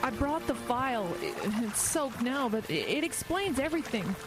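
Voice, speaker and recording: A woman's voice: high voice, lively intonation, moderate pace, music in the background. Actress as an earnest, frightened woman in horror drama. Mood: urgent explaining. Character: frightened, compassionate woman investigator recounting a horror. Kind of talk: audio horror drama acting.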